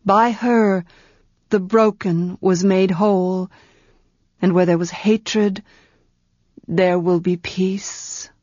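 Woman voicing a calm, kindly male wizard. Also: medium pitch, dry recording. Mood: earnest. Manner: quiet, soothing, measured. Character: gentle, wise male wizard, calm and kind. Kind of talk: audiobook character reading. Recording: dull, muffled sound.

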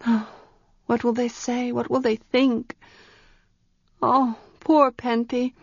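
A woman's voice: fairly high pitch, flat delivery, slow, gravelly, a little mellow, dry recording, pitched above the performer's own voice, exaggerated voice. A woman reading an audiobook, voicing an earnest young former priestess who asks breathless, wondering questions. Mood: wistful. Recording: dry, slightly dull audiobook recording.

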